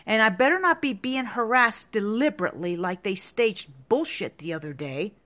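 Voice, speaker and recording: A woman's voice: mid-range voice, lively intonation, normal speed, a bit bright. A woman in her fifties in an agitated, repetitive rant to the camera, heavy with profanity. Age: 50s. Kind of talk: angry monologue to camera.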